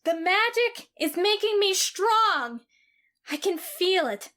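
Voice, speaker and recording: A woman's voice: very high voice, animated, takes it slowly, sharp tone, exaggerated voice. A woman voicing a formerly sickly boy, proud, commanding and earnest. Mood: chanting. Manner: imperious, earnest chanting. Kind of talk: amateur audio drama.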